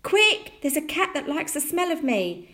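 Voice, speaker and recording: A woman voicing a teeny tiny, eager and defiant storybook boy who shouts and squeals. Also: very high-pitched, huge pitch swings, very slow, voice pushed up, heavily acted. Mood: urgent. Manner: shouting, squealing pleas and protests. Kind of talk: children's storybook character voice.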